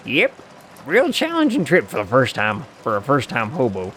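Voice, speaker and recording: A man voicing a friendly, teasing hobo drifter with a folksy, unhurried way of talking, reading a game character's lines aloud. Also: medium pitch, wildly swooping pitch, a bit bright, a little hiss or hum.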